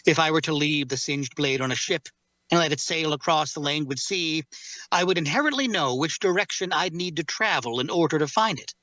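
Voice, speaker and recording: A man playing a solemn, learned warrior who bears a cursed sword, speaking in a measured, formal way in a fantasy drama reading. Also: fairly high pitch, pushed and bright, no room sound, low-quality recording. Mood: measured, instructive. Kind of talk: dramatic fantasy table read.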